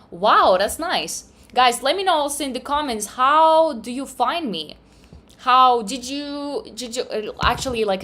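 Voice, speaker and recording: A woman's voice: on the high side, fairly bright tone. A woman hosting a casual livestream, reading out viewer comments in cheerful, slightly non-native English. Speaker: trading livestream host.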